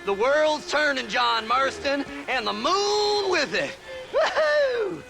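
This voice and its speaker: An actor playing a crazed, gleefully unhinged grave-robbing treasure hunter in a western video game, with an American Southern accent, a cackling voice and a manic, taunting manner. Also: male voice, big pitch swings, slow, over music, exaggerated voice, rough recording. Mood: giddy. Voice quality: high, creaky, cackling voice. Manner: manic, taunting, swinging between mutters and shrieks.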